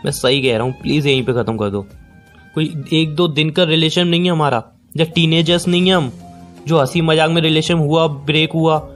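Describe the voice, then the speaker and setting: Actor as a high-pitched, exasperated young boyfriend. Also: male voice, steady tone, normal speed, faint music behind, close to an everyday voice. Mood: pleading, exasperated. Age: young adult. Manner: repetitive, pressing, exasperated. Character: young boyfriend, hurt, incredulous, pleading against a breakup. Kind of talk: acted phone-call drama in conversational Hindi with some English.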